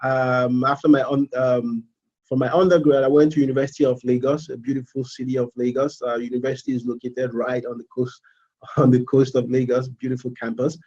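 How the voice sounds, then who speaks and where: A man in his thirties from Nigeria with a Nigerian accent, a student giving a casual live presentation, halting with fillers and repeats. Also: mid-range voice, level tone, darkish tone, muffled recording. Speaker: MBA student.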